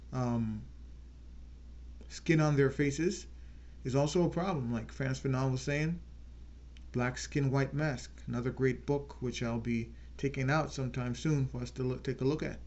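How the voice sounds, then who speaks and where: A man hosting his own channel, giving an earnest unscripted political talk and slowing down to stress key words. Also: mid-range voice, steady tone, darkish tone, lo-fi sound. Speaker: channel host.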